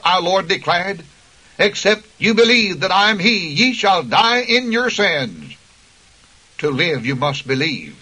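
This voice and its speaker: A man's voice: a little high, bright, forceful tone, slightly rough, low-quality recording. A man preaching a radio sermon with impassioned, emphatic delivery, citing scripture chapter and verse.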